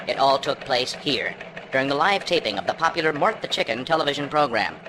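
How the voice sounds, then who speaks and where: An actor playing a melodramatic chicken news anchor in a game cutscene, with earnest mock-serious delivery. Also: male voice, mid-range voice, lively intonation, fairly quick, noisy background, lightly acted. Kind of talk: parody newscast delivery. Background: music.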